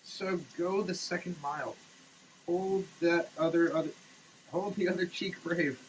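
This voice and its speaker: A man giving a theatrical, declamatory dramatic reading, swinging between hushed delivery and shouting, as a comic performance. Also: high voice, fairly even intonation, unhurried, a little hiss or hum, low-quality recording. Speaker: sketch comedy performer. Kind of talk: dramatic reading of a product label. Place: shower.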